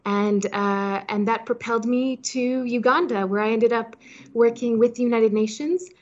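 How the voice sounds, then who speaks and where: A woman's voice: on the high side, normal speed, a little mellow, highs cut off. A woman in her thirties from Hong Kong, born in Switzerland, answering interview questions conversationally with plenty of "you know" asides. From Switzerland-born, raised in Hong Kong. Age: 30s. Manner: chatty, peppered with "you know". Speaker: podcast guest, startup founder.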